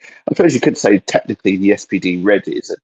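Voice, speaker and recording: Deep, husky man on a dull mic. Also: expressive, quick pace, darkish tone. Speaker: co-host of a fan discussion show. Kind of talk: casual chat about geeky topics.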